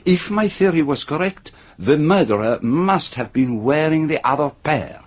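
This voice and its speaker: An actor playing a shrewd, methodical detective in a radio drama, speaking deliberately and theatrically as he builds his case point by point. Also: fairly high pitch, lively intonation. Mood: methodical, probing. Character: shrewd, methodical male detective unmasking a killer.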